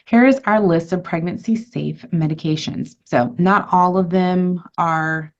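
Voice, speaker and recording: A woman physician hosts an informational webinar in a low, easy voice. She talks through slides conversationally, fielding questions and running polls. The audio is a little muffled, like a computer microphone on a video call. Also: measured pace. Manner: friendly, conversational, answers questions and runs polls. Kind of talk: educational webinar presentation.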